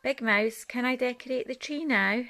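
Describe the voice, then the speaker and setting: A woman voicing a small, excitable, easily frightened young male mouse in a children's picture book, squeaky and exclaiming. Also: moderate pace. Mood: eager. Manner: squeaky, breathless exclamations and repetitions.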